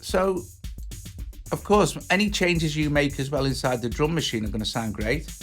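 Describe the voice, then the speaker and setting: A man giving an informal, enthusiastic walkthrough as a music software tutorial presenter, talking casually. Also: fairly high pitch, normal speed. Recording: music playing behind the voice. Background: drum machine and instruments.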